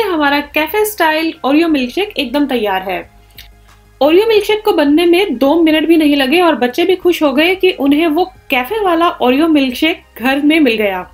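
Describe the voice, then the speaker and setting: A woman in her thirties hosting a home cooking channel, explaining a recipe step by step in a clear instructional voice. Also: high voice. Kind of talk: recipe tutorial narration. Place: home kitchen, indoors.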